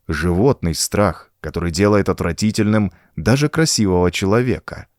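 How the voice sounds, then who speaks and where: A man narrating a horror audiobook in an expressive, suspenseful, dramatic manner. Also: sits low, normal speed, dry recording, clean studio sound. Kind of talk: dramatic scripted narration of a pulp horror story.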